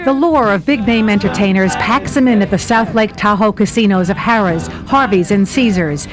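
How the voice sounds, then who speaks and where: A woman's voice: medium pitch, normal speed. A woman reading a scripted travel narration as the reporter of the segment. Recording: music behind the narration. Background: background music.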